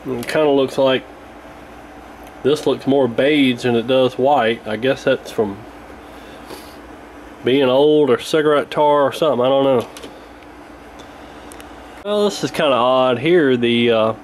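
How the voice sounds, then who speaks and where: A man's voice: medium pitch, a little slow, long pauses, a little hiss or hum, low-quality recording. A man giving a casual, hands-on repair walkthrough in a folksy, colloquial way, thinking aloud as he goes. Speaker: radio repair hobbyist presenting.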